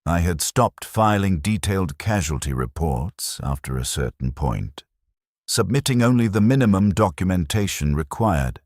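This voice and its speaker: A man narrating a scripted first-person military story in a detached, measured, clinical manner. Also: deep voice, expressive, a little mellow, dry recording, studio quality.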